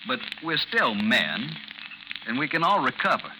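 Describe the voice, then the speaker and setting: An actor playing a protective friend and former army officer, earnest and insistent, in 1950s radio drama style. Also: male voice, mid-range voice, sing-song, measured pace. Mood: pleading, firm. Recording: noisy, sizzling 1950s radio recording.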